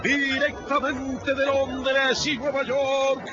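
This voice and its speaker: A man's voice: very high-pitched, monotone, slow pace, dull audio, crowd noise, background noise. An actor playing a pompous swindler who poses as a king, a hammy tragedian declaiming in a radio drama. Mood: hawking grandly. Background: music.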